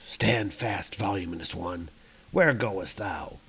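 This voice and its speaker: A man's voice: low voice, takes it slowly, creaky, fairly bright tone, slightly rough, heavily acted. A man voicing a gallant, dryly mocking warrior in a comic-book story, with grave archaic speech.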